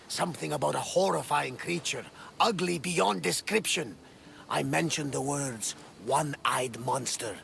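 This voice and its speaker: Game sea captain: hearty, wry older seafarer, heavy gravel, unhurried theatrical delivery, faint ambience behind. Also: male voice, on the high side, fairly bright tone, a little hiss or hum, full character voice. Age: middle-aged. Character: boisterous one-eyed old sea captain, grateful, wry. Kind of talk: video game cutscene acting.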